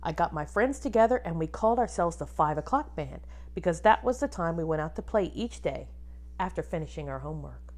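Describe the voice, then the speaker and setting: Woman, lively swooping intonation, slightly low voice, children's read-aloud, expressive teacher manner. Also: fairly quick. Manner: expressive, instructive, asks the listeners questions. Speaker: music teacher reading a picture book to pupils.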